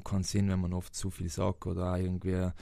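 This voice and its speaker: A man's voice: deep voice, completely flat delivery, fast, vocal fry, mellow, dry recording. A young man from South Tyrol with a South Tyrolean German accent, answering interview questions hesitantly with many fillers and restarts. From South Tyrol, Italy. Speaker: interviewed footballer.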